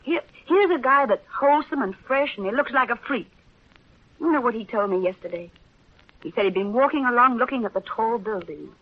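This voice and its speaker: An actress playing a guilt-torn, softening newspaperwoman in a 1930s radio drama, on a muffled vintage recording. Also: a little high, lively intonation, fairly quick. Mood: troubled, earnest. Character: conflicted newspaperwoman, guilty and softening, secretly fond.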